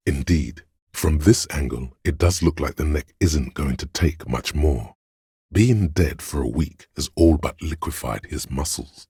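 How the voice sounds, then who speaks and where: An extremely deep bass plays the grave inner voice of a detective in a video game. He narrates in a measured, clinical way, observant and dryly judging. The sound is close and dry, with a slightly husky grain. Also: a little mellow, dry recording, heavily acted. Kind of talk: dramatic second-person game narration.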